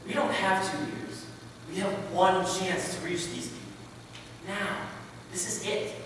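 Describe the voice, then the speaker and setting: A man's voice: high-pitched, steady tone, measured pace, a bit bright, far from the mic. A man playing a resolute, devout missionary pilot and father in a readers theater piece. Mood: determined. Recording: echoey hall from afar, faint noise.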